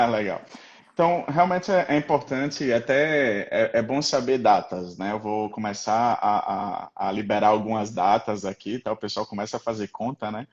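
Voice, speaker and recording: A man's voice: on the low side, normal speed, dull audio. A man with a Rio Grande do Norte accent, a guest professor talking casually on a live stream, rambling with many fillers and tag questions. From Rio Grande do Norte, Brazil.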